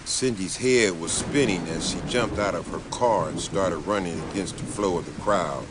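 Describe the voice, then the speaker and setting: A man's voice: sits low, normal speed, noisy background. A man giving a dramatic scripted reading as an audiobook narrator.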